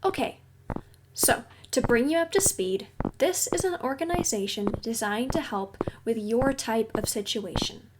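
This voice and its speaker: Slow, gentle high-voiced counselor played by a woman. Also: lively intonation, noisy background. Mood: calm, explanatory. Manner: gentle, soothing, explanatory. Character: young woman counselor at a secret base, caring, reassuring. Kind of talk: audio roleplay addressed to the listener.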